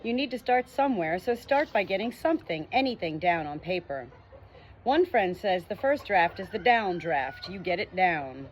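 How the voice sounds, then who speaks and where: A woman reading a nonfiction book chapter aloud as an audiobook, with earnest, expressive emphasis. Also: lowish voice, normal speed, a little hiss or hum. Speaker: audiobook reader.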